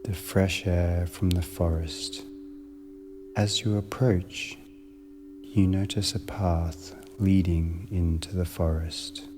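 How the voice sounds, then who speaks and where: A man narrating a guided meditation in a measured, soothing manner with long pauses between short phrases. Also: deep voice, background noise.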